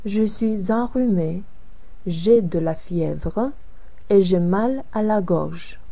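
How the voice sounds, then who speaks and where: A woman reads Hélène, an adult woman down with a cold and fever, in a learners' dialogue. The reading is very slow and even. The voice is mellow, with a soft, dark tone. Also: mid-range voice, creaky. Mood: unwell. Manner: careful, evenly paced reading. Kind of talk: slow scripted language-lesson dialogue.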